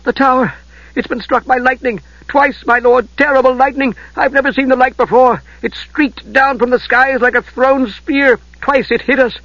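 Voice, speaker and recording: Young squire, scared, breathless, urgent, raised pitch; narrator voices him. Lo-fi. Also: male voice, normal speed, a bit bright, no room sound. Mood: panicked. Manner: gasping, awestruck, urgent.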